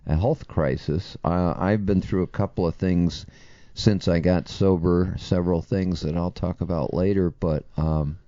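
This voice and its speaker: A middle-aged man co-hosting a recovery podcast, a little hoarse, talking in a rambling, casual way with many fillers. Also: low-pitched, fairly even intonation, unhurried, dark tone, no room sound, highs cut off. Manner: rambling, lots of fillers and restarts. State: slightly hoarse from yelling. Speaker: podcast co-host.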